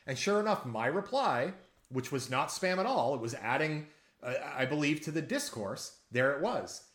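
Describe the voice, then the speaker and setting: A man co-hosting a casual political podcast, telling a story in a rambling, animated way with many restarts. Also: a little high, normal speed, reverberant. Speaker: podcast co-host.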